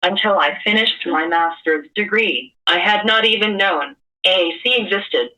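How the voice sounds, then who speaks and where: A woman's voice coming from a speech device, with even, measured, prepared delivery. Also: mid-range voice, distant mic, low-quality recording. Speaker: guest speaking through a speech device. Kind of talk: synthesized speech from a communication device.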